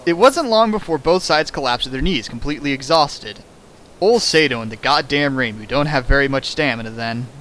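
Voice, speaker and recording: A man's voice: medium pitch, lively intonation, fairly quick, fairly bright tone, a little hiss or hum. A man reading a fan fiction aloud for laughs, breaking off into sarcastic, mock-outraged commentary. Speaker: reader riffing a fan fiction. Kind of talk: comedic dramatic reading with commentary.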